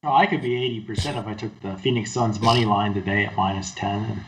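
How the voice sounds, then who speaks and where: A man's voice: lowish voice, steady tone, fast talker, a bit bright, slight background noise, poor audio. A man co-hosting a sports betting show, adding dry, matter-of-fact remarks in casual chat. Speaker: sports handicapper co-host.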